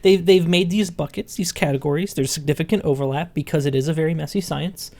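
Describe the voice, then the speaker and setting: A man co-hosting a podcast in casual chat, musing and halting as he repeats words. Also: fairly high pitch, creaky, a little mellow. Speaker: podcast co-host.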